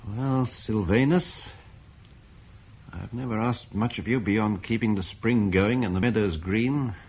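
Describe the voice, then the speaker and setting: An actor playing a dignified Roman-British landowner who speaks earnestly and tries to persuade in a radio play. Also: male voice, fairly low pitch, a little slow, darkish tone, slightly rough. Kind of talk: 1950s radio play acting.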